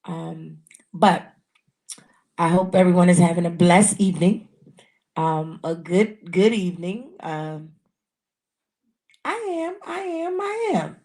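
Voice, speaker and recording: A woman with a raspy voice hosting a casual live podcast, talking earnestly and repeating herself often. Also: fairly low pitch, expressive, slow, long pauses, warm tone. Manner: repetitive, earnest, many restarts. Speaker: podcast host. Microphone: handheld mic.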